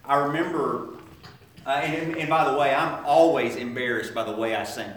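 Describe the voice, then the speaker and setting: A man's voice: medium pitch, a bit bright, slight background noise. Man preaching in an echoey church. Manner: conversational, halting, many restarts and fillers. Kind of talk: sermon. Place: church sanctuary. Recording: echoey room from a distant mic.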